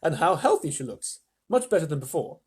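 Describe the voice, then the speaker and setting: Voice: mid-range voice, very animated, brisk, a little mellow, husky. A storyteller voicing an eccentric old male chocolate factory owner, emphatic and exclamatory, in a storybook reading. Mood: gleeful, brisk.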